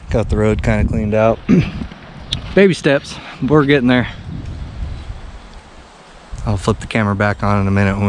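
A man's voice: on the low side, slight background noise. A man in his thirties casually narrating a vlog outdoors in a forest, talking in a laid-back, folksy, rambling way into a handheld camera. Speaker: vlogger. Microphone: handheld action camera.

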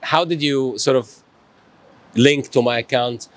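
A man's voice: medium pitch, measured pace. Upbeat motivational man hosting a stream. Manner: upbeat, motivational, thanks commenters. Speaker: host. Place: small indoor studio.

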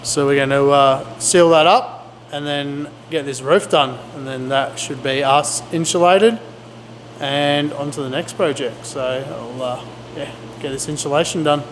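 A man's voice: a little high, a little slow. A middle-aged man vlogging casually in a laid-back, slangy way, talking to a handheld camera. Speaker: vlogger, DIY builder. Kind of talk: casual handheld vlog talk. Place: large indoor pole barn workshop. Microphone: camera mic at arm's length. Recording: echoey barn with clear background noise.

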